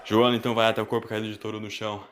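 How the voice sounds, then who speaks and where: A man's voice: fairly low pitch, level tone, racing, background noise. A man with a Brazilian accent, narrating a scripted story in a dramatic style. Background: music.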